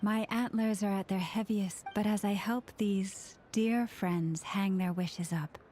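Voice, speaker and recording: A woman playing a gentle, devout antlered young woman in a video game, soft-spoken, measured and earnest. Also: mid-range voice, steady tone, a little hiss or hum, close to an everyday voice. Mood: serene, kindly.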